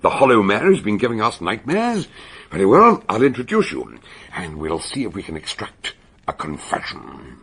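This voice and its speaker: An actor playing a shrewd, commanding older British detective who also narrates a radio drama, gravelly and husky, with a theatrical, emphatic delivery. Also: male voice, lowish voice, wildly swooping pitch, normal speed, fairly bright tone, no echo, exaggerated voice. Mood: confident, rousing.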